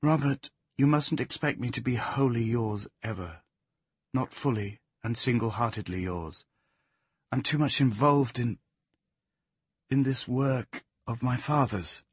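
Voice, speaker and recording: A man voicing an earnest young English woman, brisk and emphatic yet tender, in a storybook reading. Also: mid-range voice, husky, no echo, studio quality. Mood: grave, emphatic.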